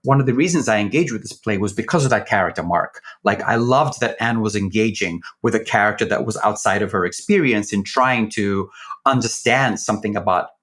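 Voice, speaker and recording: A man's voice: lowish voice, brisk, a bit bright. A man speaking earnestly and reflectively in a discussion about his creative work. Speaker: member of a play's creative team.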